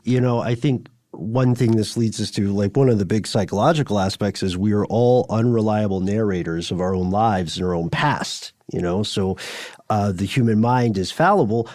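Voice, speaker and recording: A man's voice: fairly low pitch, darkish tone, dry recording. Discursive male podcast host, dry sound. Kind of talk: casual conversational podcast talk.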